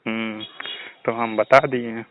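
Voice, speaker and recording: A man's voice: mid-range voice, little pitch movement, takes it slowly, dry recording. A young man with a Bhojpuri accent chatting casually and telling stories in a teasing, boastful way. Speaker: student. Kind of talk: casual phone chat, telling anecdotes. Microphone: phone. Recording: narrow, tinny phone call recording.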